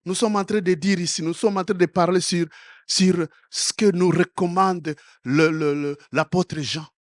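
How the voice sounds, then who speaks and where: A man with a fairly high voice delivers a sermon in French, which is not his first language, at an unhurried pace. He stumbles, repeats short words and restarts, then builds to loud exhortations before falling back to a soft, low tone. The sound is close and dry. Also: steady tone, no room sound. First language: another African language. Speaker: pastor.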